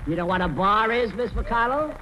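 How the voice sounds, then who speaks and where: A man's voice: sits high, animated, moderate pace, noisy background. A man hosting a 1940s radio comedy quiz, rattling off gags on an old recording. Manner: echoes answers, rattles off gags. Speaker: comic quizmaster.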